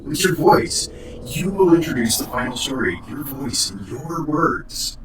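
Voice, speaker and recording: A man playing a cold, accusing co-host in an audio drama, calm and menacing. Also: mid-range voice, a little slow, close to an everyday voice. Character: cold male co-host turned traitor. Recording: roomy distant noisy audio.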